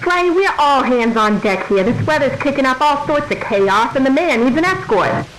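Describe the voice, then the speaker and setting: An actress playing a brisk, exasperated 1950s police dispatcher in a noir radio drama, firm and bossy. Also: female voice, high voice, lively intonation, a bit bright, slight background noise, poor audio. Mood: firm exasperation.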